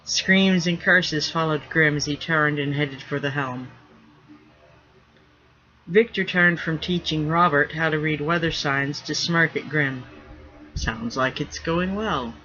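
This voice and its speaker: Male author-narrator for fiction, sharp tone, slightly high pitch, lo-fi sound. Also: fairly even intonation, a little slow. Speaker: author reading own novel. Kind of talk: scripted narration, fiction reading. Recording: dull lo-fi recording.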